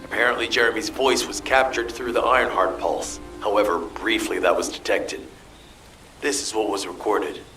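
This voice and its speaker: An actor playing a tense, commanding military mission officer, earnest and authoritative in scripted game dialogue. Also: male voice, on the low side, steady tone, normal speed, bright, forceful tone, rough recording. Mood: grave, businesslike. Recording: music behind the voice.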